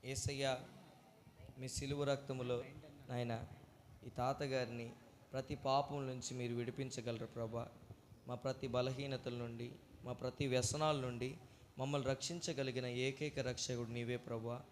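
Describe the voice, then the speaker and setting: A man's voice: mid-range voice, flat delivery, normal speed, long pauses. A man with a preacherly, instructive manner, presenting a children's puppet show and leading prayer. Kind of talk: exhorting and leading children at church. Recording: faint noise, live ambience.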